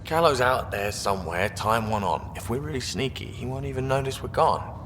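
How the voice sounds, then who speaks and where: A man's voice: mid-range voice, expressive, moderate pace, fairly bright tone, lightly acted. An actor playing a cheerful, cocky male spaceship crewman in a video game, casual and joking. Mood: playful, scheming. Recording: long reverb, faint ambient noise.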